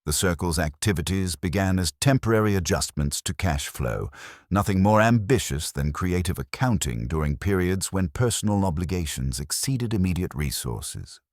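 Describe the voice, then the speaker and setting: A man's voice: deep voice, lively intonation, normal speed, a little mellow, dry recording, clean studio sound. A man voicing a dignified, coolly scheming society lady in a mystery story, speaking with careful, decorous precision. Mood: composed, precise.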